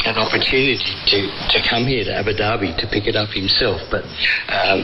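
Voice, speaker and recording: Heavy shortwave noise surrounds a muffled, low-pitched voice. It belongs to an Australian man in his sixties being interviewed on the radio, who speaks haltingly and pauses often as he explains things. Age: 60s. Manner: halting, hesitant delivery with frequent pauses. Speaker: interviewee, volunteer frequency coordinator.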